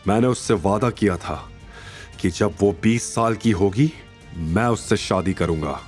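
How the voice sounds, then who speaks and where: A man's voice: fairly low pitch, unhurried, darkish tone, soft background music, natural voice. A man playing an intense, lovestruck and powerful young boss in an audio drama. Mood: pained resolve. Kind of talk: Hindi audio drama acting.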